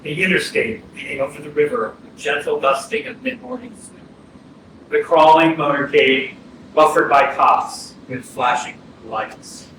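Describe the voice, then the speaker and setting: A man's voice: fairly high pitch, lively intonation, unhurried, fairly bright tone. A man performing descriptive poetic narration in a staged reading. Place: indoor arts center stage, recorded from afar. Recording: distant, very echoey, faint noise.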